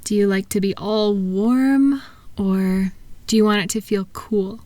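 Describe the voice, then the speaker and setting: A woman speaking softly and gently, at times breathy, in an unhurried, reassuring one-sided ASMR roleplay as a calming practitioner. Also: mid-range voice, no room sound. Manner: soothing, reassuring, unhurried, asking gentle questions. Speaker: audio roleplay performer playing a thought-calming practitioner.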